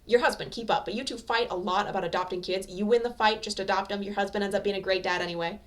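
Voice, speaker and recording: A woman voicing a brash, impatient young male anime hero in a fan fiction reading, with a gruff, bossy, profane way of talking. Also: medium pitch, fairly even intonation, fast, natural voice. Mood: exasperated, blunt.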